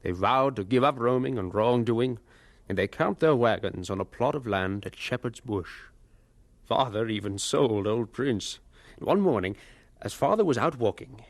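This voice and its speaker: A man's voice: mid-range voice, lively intonation, moderate pace, close to an everyday voice. Male actor as reminiscing evangelist narrator for a religious audio drama, earnest, mid-range voice, no room echo. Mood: earnest storytelling.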